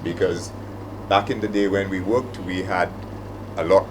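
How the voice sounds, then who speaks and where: A man's voice: deep voice, steady tone, a little slow, background noise. A middle-aged man with a Saint Lucian accent, talking reflectively and conversationally as a panelist. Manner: conversational, anecdotal. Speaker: panelist, aviation operations manager. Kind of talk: reflective panel talk with anecdotes.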